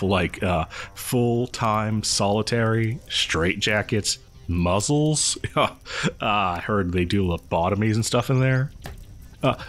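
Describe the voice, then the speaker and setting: A man playing a chatty, creepily friendly killer posing as a partygoer in a horror audio roleplay, rambling hesitantly and conspiratorially with chuckles. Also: on the low side. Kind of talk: horror audio roleplay, casual storytelling to listener.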